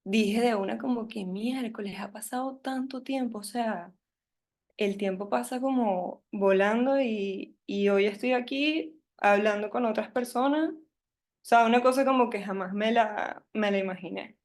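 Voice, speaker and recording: Slow, hesitant young Venezuelan woman. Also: on the high side, darkish tone. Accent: Venezuelan Spanish. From Venezuela (Caracas). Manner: hesitant, lots of fillers and restarts, nervous. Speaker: workshop speaker. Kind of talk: personal storytelling talk.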